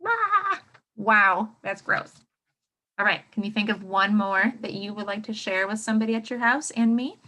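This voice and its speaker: A woman's voice: medium pitch, expressive, bright, forceful tone. A woman teacher leading an interactive read-aloud lesson for young children, encouraging them with questions and speaking with exaggerated expressiveness. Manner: encouraging, prompting with questions and pausing for answers. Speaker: elementary school teacher.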